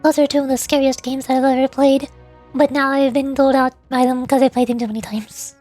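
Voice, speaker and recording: A woman's voice: high-pitched, flat delivery, fairly quick, a little mellow, faint music behind. A woman livestreamer chatting casually with viewers, rambling and often repeating words as she forms her thoughts. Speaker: VTuber livestreamer.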